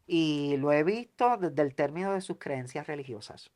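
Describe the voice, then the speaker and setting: A man who works as a therapist, a guest on a podcast, speaking in a measured way about rights and politics and listening with steady murmurs of agreement. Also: fairly high pitch. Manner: measured, gives steady "ujú" backchannels while listening. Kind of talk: casual political conversation.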